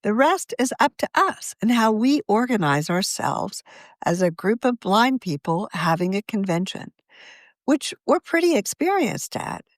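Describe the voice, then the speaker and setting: A woman who is a magazine deputy editor, reading her own article aloud in a measured, expressive way. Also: mid-range voice, no room sound.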